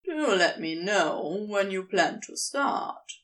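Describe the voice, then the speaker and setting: A woman's voice: mid-range voice, expressive, a bit bright, distant mic, voice pushed down. A woman voicing a giant male sea serpent in a storybook reading, sly, mocking and taunting without hurry. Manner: taunting, unhurried.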